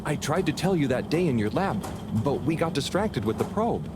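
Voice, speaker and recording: An earnest, naive man in a game, speaking at a middle pitch. He is halting but lively in his intonation, with clear noise behind him. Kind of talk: video game voice acting.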